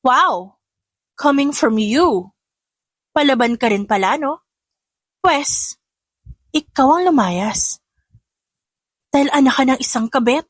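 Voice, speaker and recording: A woman's voice: high voice, lively intonation, takes it slowly, long pauses, dry recording, exaggerated voice. A woman voicing a young, spoiled, combative rich daughter who talks sharply and tauntingly in a dramatic audio story. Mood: taunting, hostile. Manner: sharp, taunting, mixing Tagalog with English.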